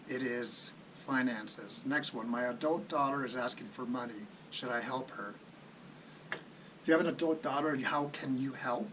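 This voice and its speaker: Recorded at some distance from the mic with clear background noise, a man hosts a casual video. His voice sits at a medium pitch. He comments off the cuff, often repeating himself and asking rhetorical questions before offering plain advice. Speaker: personal finance video host.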